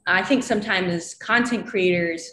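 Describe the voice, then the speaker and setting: A woman's voice: medium pitch, normal speed, a bit bright, a little hiss or hum. Young woman on a roomy video call. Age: young adult. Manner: fluent, uses "like" often, gestures while talking. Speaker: guest, creative strategist. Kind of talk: casual interview. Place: home room over video call.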